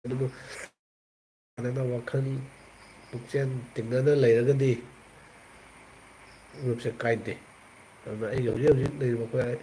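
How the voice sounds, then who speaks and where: A man's voice: mid-range voice, flat delivery, very fast, pauses often, warm tone, slight background noise, poor audio. A man from northeast India with a Manipuri accent, chatting casually and rambling to his viewers on a live stream.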